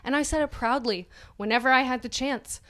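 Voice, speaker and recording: A woman's voice: high-pitched, a little fast, a bit bright. A woman co-hosting a comedy podcast, reading an article aloud mock-dramatically with joking asides and often breaking into giggles. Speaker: podcast co-host.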